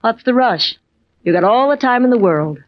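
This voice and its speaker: Gentle wife in muffled radio drama, woman acting. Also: fairly high pitch, expressive, normal speed, natural voice. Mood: gently reassuring. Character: gentle, reassuring wife of a seaman. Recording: muffled, noisy old radio recording.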